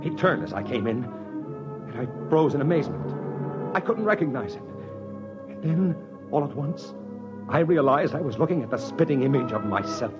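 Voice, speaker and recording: A man's voice: medium pitch, animated, moderate pace, pauses often, close to an everyday voice. A man acting a desperate journalist father in an old radio drama, both speaking and narrating in hard-boiled style. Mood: tense narration. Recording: dull old radio recording, loud music behind.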